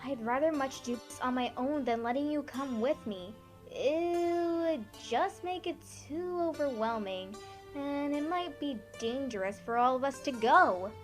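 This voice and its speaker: A woman's voice: high voice, lively intonation, a little slow, soft background music, pitched above the performer's own voice. A woman voicing a determined young female pony heroine, anxious but brave, in an earnest story reading. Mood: resolute, earnest.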